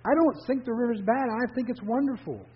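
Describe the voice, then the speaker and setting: A man's voice: fairly quick, a little hiss or hum. A man voicing a curious, earnest young river dweller who pleads in a raised voice. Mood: puzzled. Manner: eager, pleading, raises pitch high. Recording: dull lo-fi recording.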